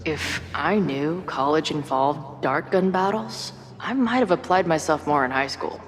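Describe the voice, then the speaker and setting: Low-voiced, wry young woman. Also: expressive, measured pace, background noise. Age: young adult. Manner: casual, wry. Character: wry, laid-back young woman, punk band manager. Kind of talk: video game voice acting, casual dialogue and inner monologue. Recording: music behind the voice. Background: music.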